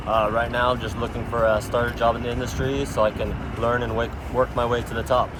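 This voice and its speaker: A man's voice: medium pitch, level tone, fast. Hesitant young man on a noisy street. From Texas, USA. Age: 20s. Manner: hesitant, many filler sounds. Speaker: street interviewee. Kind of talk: casual chat. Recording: loud traffic noise outdoors. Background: loud traffic noise.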